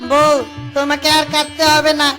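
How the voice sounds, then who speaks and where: High pitch, even melody, woman acting naive male fox rickshaw driver, plaintive, kind-hearted, cartoon, music behind. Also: normal speed, natural voice. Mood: grumbling, plaintive.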